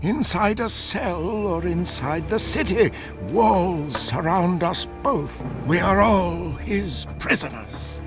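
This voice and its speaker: A man's voice: sits high, animated, slow. A man playing a wise, mysterious old man in a video game, speaking formally and theatrically. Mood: courteous, wary. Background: music.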